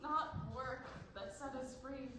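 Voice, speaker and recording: A woman playing a grieving young girl who survived the camps, speaking solemnly and deliberately in a stage play. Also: high voice. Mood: bitter, solemn. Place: stage performance recorded from afar. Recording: echoey stage hall, distant mic, noisy.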